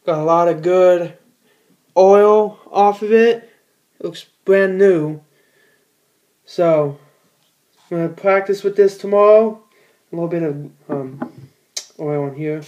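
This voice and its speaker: A man's voice: sits high, takes it slowly, pauses often, darkish tone, highs cut off. A teenage boy in a small room at home, giving a casual how-to in a rambling, plain-spoken way with many fillers and restarts. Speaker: bowler demonstrating ball cleaning.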